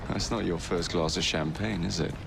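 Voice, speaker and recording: An actor with an English accent playing a cocky young assassin in a game, wry and roguish. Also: male voice, low voice, fairly even intonation, normal speed, creaky, background noise. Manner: wry, playful, teasing. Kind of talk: video game cutscene acting.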